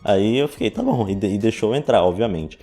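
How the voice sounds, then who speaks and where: Mellow young Brazilian man. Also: on the low side, huge pitch swings, fairly quick, slightly rough. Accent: Brazilian Portuguese. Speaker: vlogger. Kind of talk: casual storytelling to camera. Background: background music.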